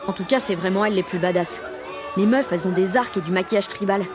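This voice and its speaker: A woman's voice: mid-range voice, fast, music in the background, a crowd in the background, close to an everyday voice. An actress with a French accent playing a cocky sixteen-year-old girl who narrates her day in slangy, sweary audio fiction. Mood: brash, excited. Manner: slangy, sweary, punchy inner monologue. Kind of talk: first-person audio fiction monologue.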